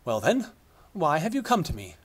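A reader voicing a vain, self-centred king from a storybook. He speaks in formal royal phrases, pitched above the reader's own voice, with lively intonation. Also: normal speed, slightly rough. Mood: impatient. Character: vain, lunch-minded male king.

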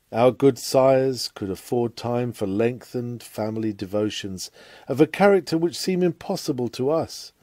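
Mellow man reading a sermon. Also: mid-range voice, no room sound, clean studio sound. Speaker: reader of a sermon.

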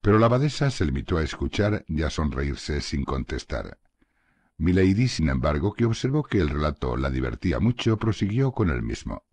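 A man narrates a classic novel in a very deep, husky voice, his pitch rising and falling with lively expression. The reading is measured and steady. The recording is dry and close, with no room sound. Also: no room sound. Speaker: audiobook narrator. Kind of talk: scripted literary narration.

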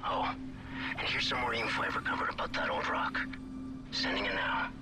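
A man's voice: fairly low pitch, animated, normal speed, close to an everyday voice. A man playing a roguish, guilt-ridden scientist in a dramatic video game. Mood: suave, easygoing. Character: roguish, guilt-ridden male scientist, suave but weary. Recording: cinematic music behind the voice.

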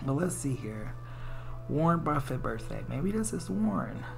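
A woman's voice: low-pitched, very animated, moderate pace, vocal fry, background noise. An American woman giving a casual, chatty tarot reading, musing aloud in informal, slangy, salty language. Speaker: tarot reader.

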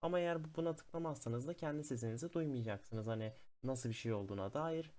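A man presents a casual screen-recorded tech tutorial. His voice sits at an average male pitch. He goes step by step in a somewhat hesitant way, often pausing on fillers as he explains. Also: darkish tone.

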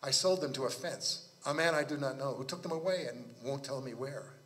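A man voicing a glib, evasive gentleman thief in a story reading. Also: mid-range voice, a little fast, close to an everyday voice.

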